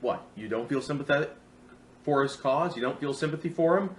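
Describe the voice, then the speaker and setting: A man's voice: medium pitch, fairly quick, slight background noise. A man hosting a comedic scripted film review, speaking in a sarcastic, mock-dramatic way. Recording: roomy echo with music underneath.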